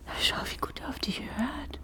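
A woman playing an emotional young German mother in a radio drama, speaking in a breathy, intimate way. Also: moderate pace, in a whisper, close to an everyday voice. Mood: tender, hushed. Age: young adult. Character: young German woman, daughter, prisoner and tender new mother.

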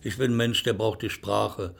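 A man's voice: on the low side, fairly even intonation, moderate pace, vocal fry, background noise. An older German man, a former actor, reminiscing in an interview in a theatrical way, calling the listener darling.

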